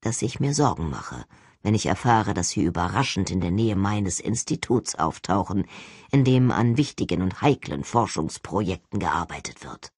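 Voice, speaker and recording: Reader acting as older male boss, low-pitched, stern, deadpan, condescending, quietly threatening, audiobook, dry close recording. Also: husky, no echo, heavily acted. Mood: cold, threatening. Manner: expressionless, measured, formal, condescending. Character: stern arrogant older male agency director, coldly threatening.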